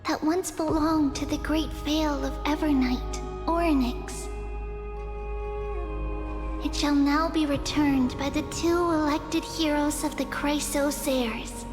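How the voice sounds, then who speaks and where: A woman's voice: high voice, steady tone, takes it slowly. A woman voicing a solemn, kindly girlish priestess in a fantasy game, speaking formally and ceremonially. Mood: solemn, encouraging. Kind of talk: video game voice acting. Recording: long reverb, music behind.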